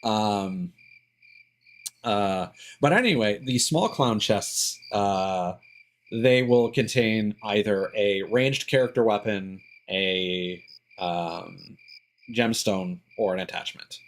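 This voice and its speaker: A man giving casual live gameplay commentary, explaining details in a halting way and thinking aloud with asides. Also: lowish voice, poor audio. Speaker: game streamer.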